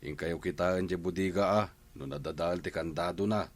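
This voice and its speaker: A man's voice: low voice, steady tone, normal speed. A man with a Filipino Ilocano accent playing a defensive ex-convict protesting his innocence in a comedy drama. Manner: defensive, colloquial.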